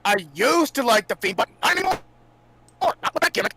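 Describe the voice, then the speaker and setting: A man on a casual group podcast, excitable, indignant and sweary. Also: very high voice, fairly quick, gravelly, heavy background noise. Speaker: wrestling podcast co-host.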